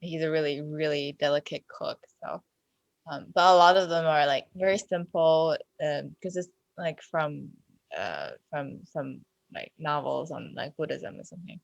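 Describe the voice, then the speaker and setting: A woman's voice: low-pitched, normal speed, gravelly, no echo, muffled recording. A woman chatting casually as a podcast panelist, with hesitant, loose phrasing. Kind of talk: casual chat.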